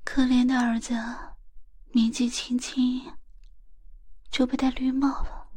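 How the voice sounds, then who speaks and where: A woman playing a sly, teasing young stepmother in a whispered, breathy audio roleplay, coaxing and drawn-out. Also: high voice, flat delivery, darkish tone, exaggerated voice. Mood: sly, probing.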